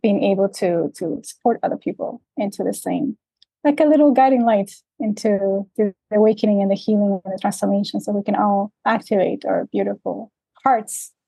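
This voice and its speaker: A woman's voice: mid-range voice, normal speed, gravelly, warm tone, husky, no room sound, low-quality recording. A woman from the Dominican Republic, raised in Canada, being interviewed as a life coach; she speaks reflectively and searchingly, with many fillers. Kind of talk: interview conversation. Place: remote, traveling in Mexico.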